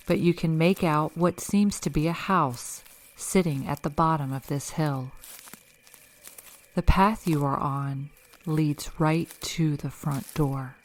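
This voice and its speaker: A woman leading a guided meditation in a calm, measured, instructional way, reading scripted narration. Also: deep voice. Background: background music.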